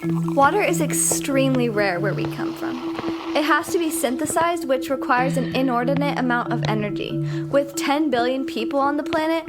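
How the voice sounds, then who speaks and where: An earnest young alien woman voiced by an actress with a high voice. She is precise, technical and bluntly puzzled, in an audio drama with loud music behind her. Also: normal speed, close to an everyday voice. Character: earnest, literal-minded young female alien visitor.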